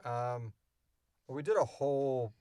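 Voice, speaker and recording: A man's voice: mid-range voice, measured pace, warm tone, clean tone, no room sound, clean studio sound. A man hosting a podcast, answering questions in a casual, informal, rambling way. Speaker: podcast host and audio drama creator.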